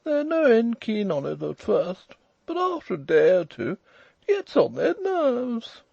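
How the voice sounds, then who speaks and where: A man voicing a languid, overbearing, lordly English gentleman with an upper-class drawl in a storybook reading. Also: very high-pitched, huge pitch swings, a little slow, creaky, dry recording, lo-fi sound, voice pushed up, exaggerated voice. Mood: bored, knowing.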